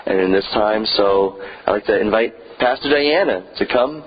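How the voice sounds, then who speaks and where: A man leading a church service who introduces the next speaker in a measured, formal way. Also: on the low side, slightly rough. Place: church sanctuary. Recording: muffled distant church recording with faint noise.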